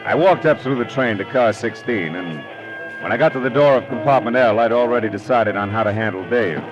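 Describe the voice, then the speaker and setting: A man's voice: mid-range voice, a little fast, muffled recording, lightly acted. An actor playing a wry, world-weary male private detective in 1940s radio drama style, terse and sardonic. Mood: grim, wry. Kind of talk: 1940s radio drama acting and first-person narration. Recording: vintage radio recording with prominent music.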